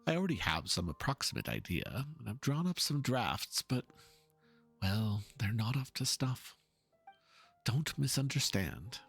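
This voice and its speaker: A man playing an earnest, pompous painter in a dramatic reading of game dialogue, speaking in a florid, halting, theatrical way. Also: mid-range voice, big pitch swings, creaky, fairly bright tone, breathy edge, faint music behind, full character voice. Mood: troubled, musing. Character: theatrical, earnest male painter, pompous and fervent about art.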